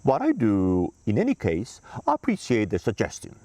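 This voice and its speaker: A man's voice: on the low side, wildly swooping pitch, normal speed, mellow, no room sound, heavily acted. A man voicing a polite, formal senator while reading a children's book aloud.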